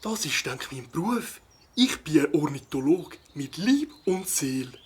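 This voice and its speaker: A man's voice: a little high, very animated, moderate pace, husky, heavily acted. A man playing a friendly, earnest ornithologist in a children's audio play, explaining patiently with a Swiss accent.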